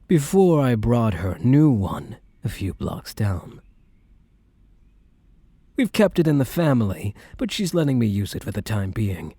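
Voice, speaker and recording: A man playing a protective young mafia boss boyfriend in an ASMR roleplay, speaking gently and intimately in a measured, guarded way. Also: fairly low pitch, no room sound. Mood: reassuring, tender. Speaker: roleplay voice performer.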